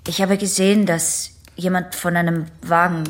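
Actress as a guarded, low-voiced troubled young woman. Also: little pitch movement, natural voice. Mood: guarded, uneasy. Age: young adult. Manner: halting, guarded, formal. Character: guarded, troubled young female patient recounting to her doctor. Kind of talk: radio drama acting.

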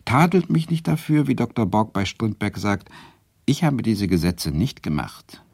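Low husky guy, brainy swedish lecturer telling his story in a radio play, ironic, self-doubting. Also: expressive, moderate pace, a little mellow, no echo, studio quality, exaggerated voice. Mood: reflective, wry. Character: Swedish visiting literature lecturer, male, wry, self-doubting narrator. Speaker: narrator and lead character. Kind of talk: literary radio drama narration and dialogue.

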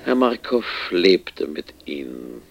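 A man's voice: sits low, very animated, slow pace, creaky, breathy edge, muffled recording, full character voice. A male actor in a radio play, playing an insistent research director with a formal, probing, coldly courteous manner. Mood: smooth persuasion. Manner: formal, probing, hesitant politeness.

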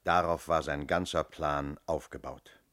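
An actor playing a shrewd, self-assured police inspector in a radio crime drama, speaking firmly and methodically. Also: male voice, extremely low pitch, steady tone, unhurried, a bit bright. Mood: certain, explanatory. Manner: methodical, firm, explanatory.

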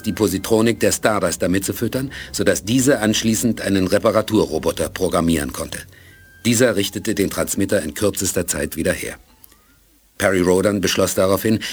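A man narrating a radio play in a calm, even storytelling manner. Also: deep voice, husky.

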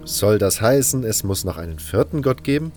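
Low-voiced, blunt, skeptical adventurer, played by a man. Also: expressive, normal speed, a little mellow, faint music behind, lightly acted. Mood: skeptical, impatient. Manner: blunt, dry, impatient. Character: curt, skeptical male convict adventurer, plain-spoken. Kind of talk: fantasy audio drama acting.

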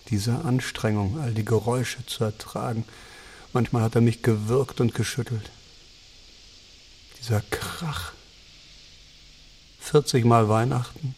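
An actor playing a gentle, introverted, gravely ill middle-aged man in an audio drama, speaking in a quiet, reflective and measured way. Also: medium pitch. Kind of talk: naturalistic audio drama acting.

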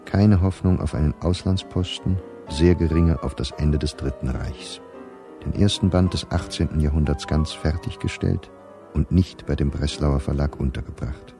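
An actor playing an embittered, middle-aged scholar reading his diary in a measured, dry, articulate radio drama delivery. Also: extremely low pitch, over music. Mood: weary, bitter.

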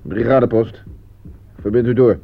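A curt soldier on field telephone duty , played by a man in a radio play. He has a middling male pitch, heard on an old, thin recording with faint noise. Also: fairly even intonation, normal speed, creaky, phone-quality sound, close to an everyday voice. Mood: dry. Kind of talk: Dutch radio drama acting.

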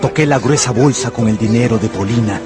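An actor playing an anxious gambler who narrates his own ruin in a radio novel, brooding and intense, with dramatic pauses. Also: medium pitch, music in the background, lightly acted. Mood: brooding, tempted.

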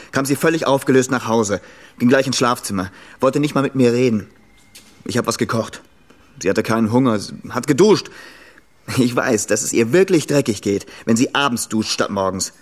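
Male actor as bitter rough-edged guy, blunt slangy talk, mid-pitched, radio crime play. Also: a little fast, natural voice. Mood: troubled recounting. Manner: blunt, colloquial. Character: defensive, bitter, rough-edged boyfriend of a nurse. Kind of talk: radio crime drama acting.

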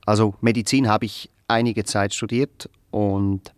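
A man's voice: lowish voice, flat delivery, measured pace, no echo, lightly acted. Pompous, hesitant intellectual, close dry sound male actor. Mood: evasive, self-important. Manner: pedantic, hesitant, long-winded. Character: pompous, evasive educated Swiss musician, self-important intellectual. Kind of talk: naturalistic radio drama dialogue.

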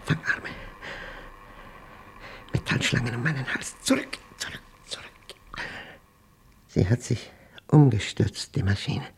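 An actor playing an obsessed, paranoid man in a radio drama monologue, speaking intensely in halting, clipped fragments as he battles a machine he fears. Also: medium pitch, expressive, vocal fry, husky, in a whisper, exaggerated voice. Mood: panicked frenzy.

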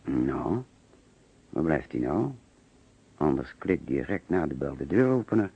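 Deep-voiced, anxious Dutch businessman, muffled recording. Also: very animated, a little slow, gravelly, slightly rough, heavily acted. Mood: puzzled. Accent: Netherlands Dutch. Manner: hesitant, muttering to himself. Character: anxious middle-class businessman recounting finding a murdered man. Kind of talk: radio play acting with first-person narration. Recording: muffled, noisy old radio play recording.

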